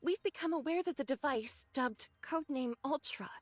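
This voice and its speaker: An actress playing a composed squad leader in a game cutscene, giving briefings in a measured, formal, authoritative way. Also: female voice, high-pitched, dry recording, soft background music, lightly acted. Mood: composed, explanatory.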